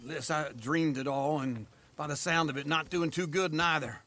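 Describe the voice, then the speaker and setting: A man's voice: fairly high pitch, moderate pace, bright, forceful tone, a little hiss or hum. A man playing a lazy, whiny old drunkard in a game cutscene, rambling and stammering with theatrical cheek. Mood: rambling, flustered. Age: older. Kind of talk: comic video game cutscene acting.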